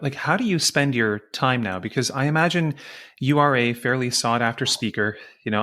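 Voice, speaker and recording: Casting: middle-aged male podcast host, eager and admiring, mid-pitched voice, video-call sound. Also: a bit bright. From Canada. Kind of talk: interview podcast.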